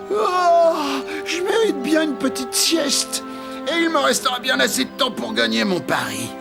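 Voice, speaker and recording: A vain male beetle for an animated tale. He is theatrical and self-important, speaking in grand courtly phrases. His pitch swings animatedly, with music faintly behind him. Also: creaky, heavily acted. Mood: self-satisfied. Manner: pompous, theatrical, flowery courtly speech. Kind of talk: animated cartoon voice acting.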